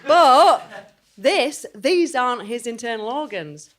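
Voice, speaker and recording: A woman plays an eccentric forensic pathologist from the criminal underworld in a comic audio drama. Her voice sits high, and she shows off her credentials with florid, boastful relish. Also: expressive, takes it slowly. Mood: intrigued. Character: proud, eccentric female backstreet forensic pathologist doctor.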